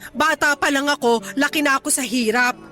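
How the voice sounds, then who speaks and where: High, sharp-voiced sulky aging mother. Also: fairly quick, soft background music. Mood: hurt, reproachful. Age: middle-aged. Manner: reproachful, sulking. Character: hurt, sulky aging mother, stubborn but loving. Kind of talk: Tagalog radio drama acting.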